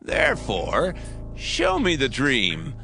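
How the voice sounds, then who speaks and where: A commanding ancient king, animated pitch. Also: slow, vocal fry, faint music behind, full character voice. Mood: stern, threatening. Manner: commanding, declamatory. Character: proud, demanding, threatening ancient Babylonian king. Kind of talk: dramatized audio Bible acting.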